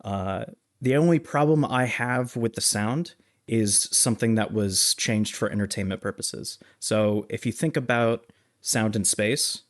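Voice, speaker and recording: A man's voice: lowish voice, unhurried, dry recording, clean studio sound. A man co-hosting a film review podcast, chatting casually and stating his opinions firmly. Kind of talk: casual movie discussion.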